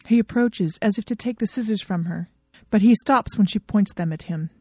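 A woman narrates a piece of fiction from a script. Her voice is dark and soft-edged at a middle pitch, recorded close with almost no room sound. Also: moderate pace, husky, no echo. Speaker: fan fiction reader.

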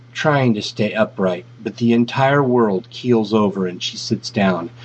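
A man's voice: on the low side, slight background noise. A man reading a piece of fiction aloud as scripted narration. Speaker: fan fiction reader. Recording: dull, lo-fi audio.

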